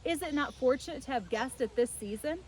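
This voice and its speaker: A woman's voice: high voice, brisk, noisy background, voice pushed up, close to an everyday voice. A woman voicing a cheeky, exuberant young boy in a storybook, teasing and repeating his words. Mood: cheerful. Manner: teasing, repetitive.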